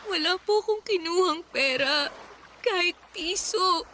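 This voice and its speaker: Very high-pitched, plaintive Filipino girl. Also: unhurried, pushed and bright, slight background noise, heavily acted. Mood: hurt, tearful. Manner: polite, plaintive, tearful. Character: wronged young daughter, timid yet insistent, pleading. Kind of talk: Tagalog radio drama acting. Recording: music under the voice.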